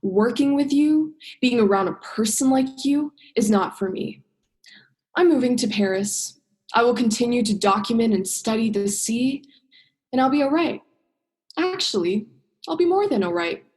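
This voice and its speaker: A drama student plays a principled young woman diver with a fairly high voice. She speaks earnestly and emphatically, in natural radio-play style. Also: lively intonation, moderate pace, darkish tone, natural voice. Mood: firm, resolute. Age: young adult.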